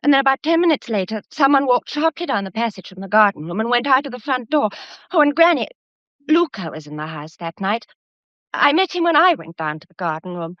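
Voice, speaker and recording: A woman's voice: on the high side, very animated, a little fast, dry recording. An actress playing a plucky, anxious young woman, earnest and hesitant, in a radio mystery drama. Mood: guarded. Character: plucky, anxious young upper-class lady keeping her head. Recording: dull, muffled lo-fi audio.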